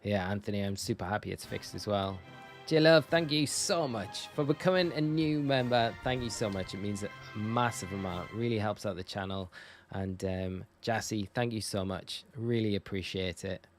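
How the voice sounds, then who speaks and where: A man's voice: fairly low pitch, normal speed, soft background music. A man from northeast England with a northern English accent, chatting casually and informally to viewers as a live game streamer. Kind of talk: casual livestream chat while gaming.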